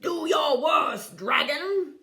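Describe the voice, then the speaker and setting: A woman's voice: high-pitched, very animated, slow, bright, forceful tone, pitched above the performer's own voice, full character voice. A woman voicing a scornful, declamatory knight, a haughty father in a storybook. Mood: defiant. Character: haughty, booming knight father, adult shiny man.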